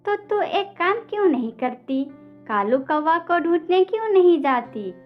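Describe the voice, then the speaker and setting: A woman's voice: extremely high pitch, animated, measured pace, lo-fi sound, faint music behind, pitched above the performer's own voice. A woman voicing a concerned, chiding mother bird who gives fretful advice in a cartoon story.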